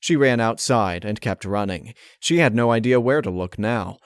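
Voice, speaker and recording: A man reading a dark fan-fiction story aloud as scripted narration. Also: on the low side, expressive, normal speed, clean tone, dry recording, clean studio sound.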